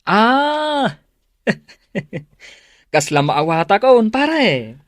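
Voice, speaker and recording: A man with an Ilocano accent acting a blunt, animated best friend in a radio drama. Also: high voice, drawn-out words, no room sound, studio quality, heavily acted. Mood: teasing, warning.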